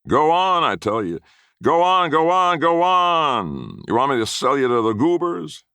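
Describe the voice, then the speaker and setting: A man's voice: on the high side, big pitch swings, measured pace, bright, forceful tone, clear, resonant tone, no room sound, pitched above the performer's own voice, full character voice. A man voicing a mean, bullying old grandfather who barks threats and orders in an acted story reading. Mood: yelling orders. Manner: domineering, barking orders.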